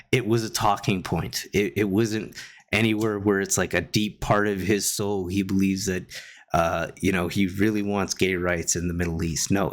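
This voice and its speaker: A man from Guyana giving a casual opinion monologue, rambling with many pauses and restarts. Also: deep voice. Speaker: commentator reacting to online drama. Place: Canada.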